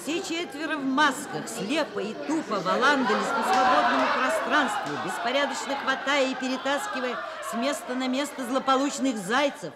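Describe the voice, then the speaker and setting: A woman's voice: high-pitched, normal speed, hardly any pauses, lightly acted. An actress playing a kind, dignified middle-aged woman professor in a Soviet radio play, speaking expressively and articulately. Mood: observant narrating. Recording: music and crowd noise behind. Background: music and crowd.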